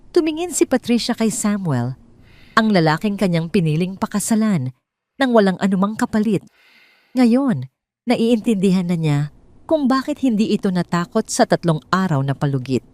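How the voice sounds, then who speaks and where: A woman with a Filipino accent narrating a scripted dramatic story in a measured, solemn storytelling manner. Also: fairly low pitch, darkish tone.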